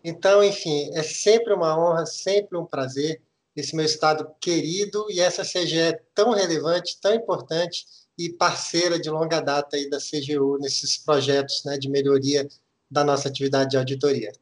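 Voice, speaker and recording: A middle-aged man from Minas Gerais with a mineiro Brazilian accent, explaining a topic in a podcast interview with measured, hesitant restarts. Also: fairly high pitch, steady tone. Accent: Minas Gerais Brazilian Portuguese. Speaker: guest auditor.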